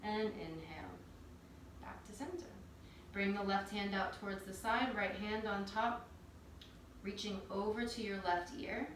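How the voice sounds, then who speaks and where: A woman teaching a yoga class, calmly guiding breath and movement step by step. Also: mid-range voice, measured pace. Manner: calm, gentle cueing of breath and movement. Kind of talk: guided yoga instruction. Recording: very echoey room, distant mic, faint noise.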